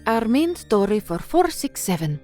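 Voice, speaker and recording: A woman's voice: fairly high pitch, big pitch swings, moderate pace, warm tone, soft background music. A woman playing an earnest, uneasy young novice newsreader in a formal, introspective audio drama. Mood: crisp, formal.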